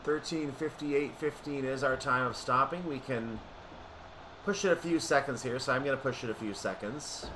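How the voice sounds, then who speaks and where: A man's voice: mid-range voice, moderate pace. Methodical man commentating over noisy background hum. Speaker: video game streamer playing a train simulator. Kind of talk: gameplay commentary, thinking aloud.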